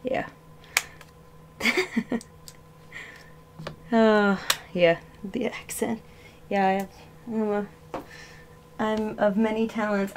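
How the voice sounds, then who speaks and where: A woman in her thirties with a slight Arkansas accent, chatting casually on a livestream while tidying her bedroom, rambling and making fun of herself, often moving away from the webcam mic. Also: medium pitch. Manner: rambling, self-mocking, talks while moving things around. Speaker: livestreaming doll collector. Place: small bedroom full of dolls. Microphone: webcam mic, often off-mic moving around.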